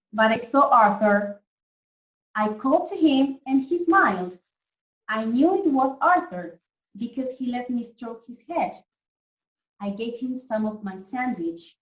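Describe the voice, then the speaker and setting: A woman primary school teacher leading an online lesson for children, explaining things simply and often checking that they follow. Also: on the high side. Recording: echoey room, distant muffled mic.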